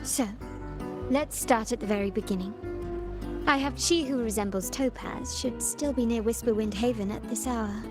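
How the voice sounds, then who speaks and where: An actress playing a composed, well-informed young woman in a video game, speaking in a measured, articulate way. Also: on the high side, close to an everyday voice. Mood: thoughtful. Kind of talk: scripted video game dialogue. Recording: background music under the voice.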